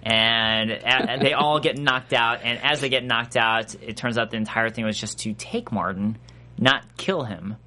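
A man with a nasal voice, co-hosting a casual recap chat, quick to jump into quoted voices. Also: medium pitch, bright, forceful tone, a little hiss or hum. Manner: chatty, breaks into quoted voices. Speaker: podcast co-host.

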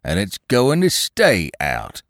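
A man voicing a grumpy, harassed police inspector in an audiobook reading, with a gruff, morose delivery. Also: medium pitch, wildly swooping pitch, takes it slowly, a bit bright, no echo, studio quality, voice pushed up, exaggerated voice.

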